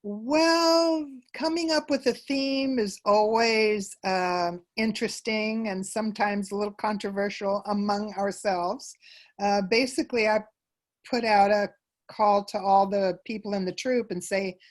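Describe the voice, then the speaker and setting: A woman's voice: mid-range voice, steady tone, measured pace. A woman giving conversational answers as an interview guest about her work in a theater troupe.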